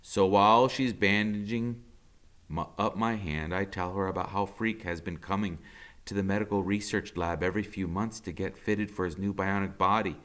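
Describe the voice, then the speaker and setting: A man, a teacher, reading a novel aloud with expressive first-person storytelling. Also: low-pitched, moderate pace.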